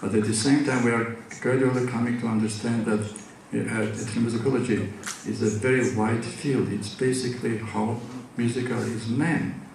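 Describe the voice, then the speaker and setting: A man's voice: mid-range voice, fairly even intonation, moderate pace, vocal fry, hardly any pauses, a little mellow, recorded from a distance, slight background noise. Georgian-accented man lecturing in an echoey hall. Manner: hesitant, many fillers and restarts. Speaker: conference speaker. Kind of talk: academic lecture. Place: large conference hall.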